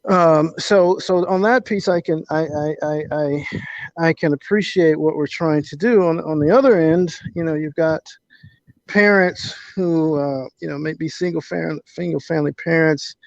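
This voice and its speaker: A man's voice: on the high side, normal speed, gravelly, no room sound. A man answering as an interview guest in an earnest, conversational way, often repeating words as he builds a point, on slightly dull call audio.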